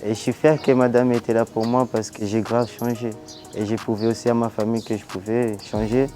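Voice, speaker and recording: A man's voice: mid-range voice, flat delivery, a little fast, music in the background. A young man, a programme participant, giving an informal testimonial. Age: young adult.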